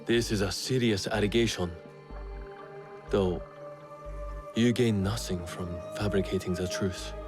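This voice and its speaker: A man playing a resolute, calculating feudal Japanese lord in a video game, speaking formally and gravely. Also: on the low side, steady tone, a little slow, pauses often, close to an everyday voice. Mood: grave, wary. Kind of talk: cinematic video game acting. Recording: prominent music behind the voice. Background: clear background music.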